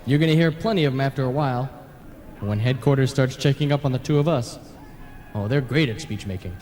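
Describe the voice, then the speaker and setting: A man's voice: medium pitch, a little fast, darkish tone, natural voice. A man acting a mischievous, self-effacing old ghost with folksy small-town speech in an old-time radio play. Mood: grumbling. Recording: reverberant, noisy analogue tape of radio play.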